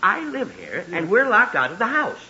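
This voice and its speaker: A man's voice: high voice, huge pitch swings, normal speed, sharp tone, full character voice. An American actor playing a middle-aged, flustered and stammering suburban father in 1940s radio comedy style. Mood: flustered, placating. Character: flustered, exasperated suburban father pleading for order. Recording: muffled, noisy, echoey 1940s radio broadcast.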